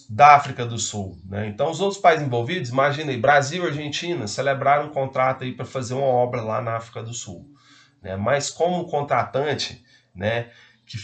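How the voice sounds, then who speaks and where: Man, law lecturer; loose, halting delivery, constant tag questions. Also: mid-range voice, a bit bright. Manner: hesitant, frequent fillers and tag questions. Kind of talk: casual spoken lecture.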